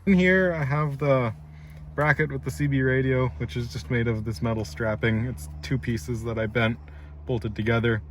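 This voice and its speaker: A man's voice: mid-range voice, fairly even intonation, normal speed. A man giving a casual, methodical how-to walkthrough, explaining each step as he works inside a car. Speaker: DIY installer presenting his project. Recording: clear background noise inside a car.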